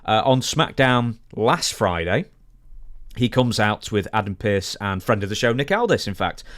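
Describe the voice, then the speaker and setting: A man's voice: fairly low pitch, sing-song, normal speed, fairly bright tone, no room sound. A young man co-hosting a podcast, chatting casually about wrestling into a close studio microphone. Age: young adult. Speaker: podcast co-host. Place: small home studio. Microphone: broadcast microphone on boom arm, close.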